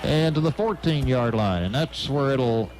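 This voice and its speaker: An American man works as a radio play-by-play announcer at a football game. His voice sits at a middle pitch as he describes the live action. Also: expressive, measured pace, a little mellow.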